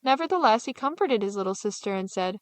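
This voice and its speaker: A woman's voice: mid-range voice, animated, fast talker, no echo. A woman storyteller reading a scripted fairy tale as narration.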